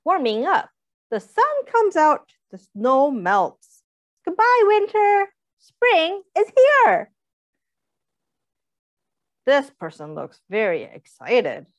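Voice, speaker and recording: A woman's voice: high voice, huge pitch swings, slow, pauses often, no echo. A young woman reading a picture book aloud to small children, expressively, with simple questions and explanations for her listeners. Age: young adult. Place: small indoor room, seated.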